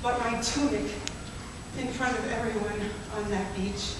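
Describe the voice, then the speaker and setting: A woman voicing a humiliated woman forced to undress on a beach, speaking in a quiet, measured way. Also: mid-range voice, fairly even intonation, lightly acted. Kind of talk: spoken-word poetry performance. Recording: echoey hall from far off, noisy.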